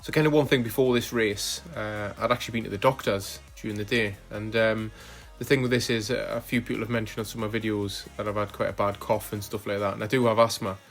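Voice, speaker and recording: A man narrating footage of his own rides as a cycling vlogger, relaxed and hesitant, with frequent fillers. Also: lowish voice, fast talker, hardly any pauses, soft background music. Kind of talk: casual voice-over narration of ride footage.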